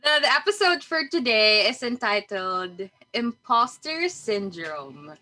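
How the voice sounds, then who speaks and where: A woman with a Filipino accent co-hosts a relaxed podcast, her voice bright and a little high. She talks cheerfully and chattily, easing into each topic. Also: slow, faint music behind. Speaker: podcast co-host. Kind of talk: casual chat, code-switching.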